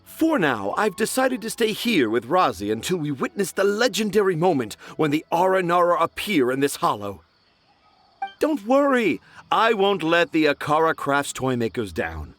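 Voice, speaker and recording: A man's voice: fairly high pitch, huge pitch swings, normal speed, fairly bright tone, faint music behind. A man voicing a pompous male writer in a game, grandiloquent and theatrical. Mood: grandiose. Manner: grandiloquent, self-satisfied, theatrical. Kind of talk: game voice acting.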